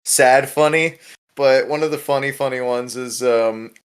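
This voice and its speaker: A man's voice: mid-range voice, fairly even intonation, measured pace, clean tone. A man hosting a casual discussion podcast, talking in an informal, rambling way with plenty of swearing. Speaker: podcast host.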